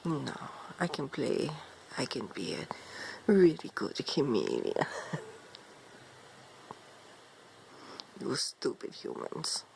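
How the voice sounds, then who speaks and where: A woman playing an ancient female vampire demon in an improvised role play, speaking in a haughty, teasing, seductive way with a gravelly voice. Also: wildly swooping pitch, a little mellow, a little hiss or hum, full character voice, rough recording. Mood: scornful, condescending. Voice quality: gravelly, low, creaky. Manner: slow, haughty, teasing, calls listener darling. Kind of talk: improvised in-character role play monologue to viewer.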